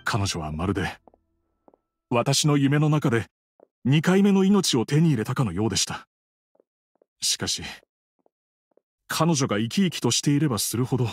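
A man's voice: medium pitch, no echo, clean studio sound, lightly acted. A man playing a grieving widower in an anime game, speaking politely, earnestly and with hesitation. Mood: anguished. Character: grieving adult widower, polite, troubled villager. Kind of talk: Japanese anime game voice acting.

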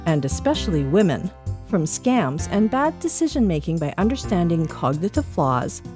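A woman's voice: lowish voice, very animated, normal speed, over music. A woman with a Canadian accent presenting a podcast segment, reading aloud with light asides.

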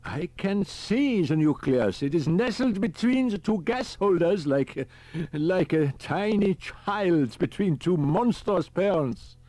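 A man voicing an excitable, precise male professor in an audiobook, speaking formally and deliberately. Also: sits high, no echo, voice pushed up. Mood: intent.

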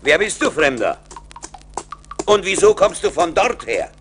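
A man's voice: high voice, very animated, unhurried, fairly bright tone, a little hiss or hum, heavily acted. An actor playing a wealthy, generous nobleman and father-in-law in a German radio play, speaking in a formal, solemn, declamatory manner. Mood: astonished. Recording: vinyl record transfer, faint music.

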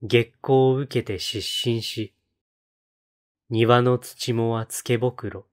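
A man reciting poetry in a solemn style, with measured, deliberate phrasing and pauses between lines. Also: mid-range voice, fairly even intonation. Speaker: poetry reader on a read-aloud channel.

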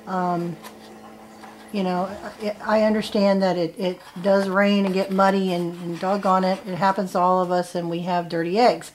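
A woman's voice: fairly low pitch, dark tone. A middle-aged woman giving a casual, plain-spoken step-by-step demonstration at a table indoors. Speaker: farmer giving a how-to demonstration. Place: indoors at a wooden table in a home.

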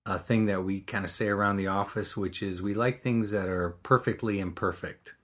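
Low-voiced man, thoughtful guest. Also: fairly even intonation, moderate pace, fairly bright tone. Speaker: guest, creative director. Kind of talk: casual conversational interview.

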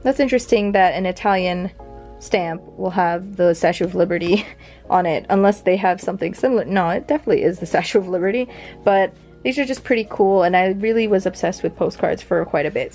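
Chatty young woman with a gravelly edge. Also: on the low side, fairly quick, faint music behind. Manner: chatty, rambling, fillers and soft laughs. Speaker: vintage collection vlogger. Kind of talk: casual show-and-tell narration. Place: home near a big airport.

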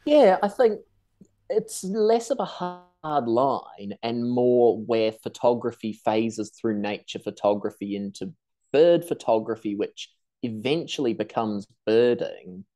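A young man from New Zealand, raised in Tasmania, giving articulate, measured answers as a podcast guest over a video call. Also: mid-range voice, expressive, warm tone. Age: young adult. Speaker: guest, seabird researcher. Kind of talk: conversational interview answers. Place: room at home, video call.

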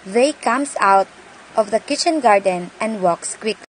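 A woman's voice: medium pitch, lively intonation, unhurried. A woman reading scripted narration for an amateur radio play. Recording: noisy amateur home recording.

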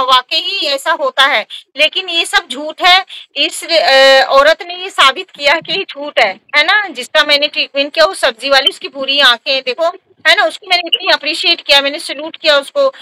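A woman vlogger speaks in a high, bright voice. She gives casual skincare-style advice straight to viewers, hammering points home by repeating them and adding little check-in questions. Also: dry recording, low-quality recording. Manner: emphatic, repetitive, with frequent tag questions. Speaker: vlogger and skin treatment provider.

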